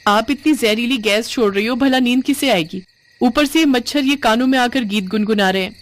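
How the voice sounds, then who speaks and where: A woman plays a young newlywed sister-in-law with a high voice. She grumbles and argues in an exasperated way, like someone fed up with her household. It is cartoon story dialogue over music. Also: a little fast, close to an everyday voice. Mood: annoyed. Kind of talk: animated Hindi family story dialogue.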